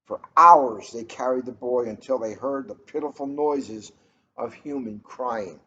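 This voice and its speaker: A man's voice: mid-range voice, measured pace, pushed and bright. A middle-aged man, a police officer, reading a storybook aloud and chatting casually between passages. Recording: muffled, noisy ambient room recording.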